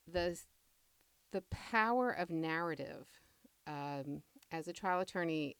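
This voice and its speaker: Middle aged lady writer getting interviewed, low smooth voice, thinks as she talks, deliberate, very dry recording. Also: no room sound. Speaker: playwright being interviewed. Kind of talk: reflective interview talk.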